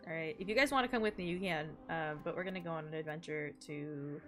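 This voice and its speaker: A woman live-streaming a video game, chatting in a rambling, playful way with a relatively deep voice. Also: quick pace, fairly bright tone. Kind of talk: casual chat with gamers and viewers, bursts of singing. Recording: music behind the voice. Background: music.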